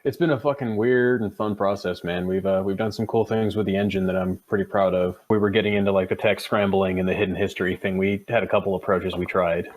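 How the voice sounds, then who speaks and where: A man with a fairly low voice talks informally as a game programmer. He chats through technical details in a casual interview style. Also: fairly even intonation, fast talker, few pauses.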